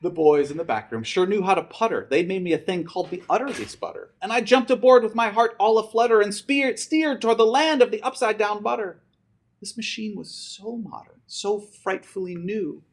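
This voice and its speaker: A man's voice: high-pitched, a little fast. A man reading a rhyming children's story aloud with theatrical, dramatic delivery. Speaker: storybook reader.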